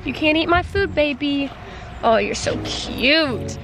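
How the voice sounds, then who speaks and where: Young woman, casual vlog chat, slightly high voice, handheld camera, clear background music. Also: lively intonation, takes it slowly, a bit bright. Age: young adult. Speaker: vlogger. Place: handheld camera on the move in an abandoned school, later outdoors and in a car.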